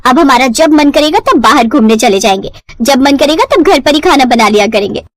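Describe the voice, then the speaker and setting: A woman's voice: sits high, animated, fairly quick, no echo. A woman voicing a jealous, scheming young sister-in-law in a cartoon village story, haughty and spiteful. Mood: smug relief.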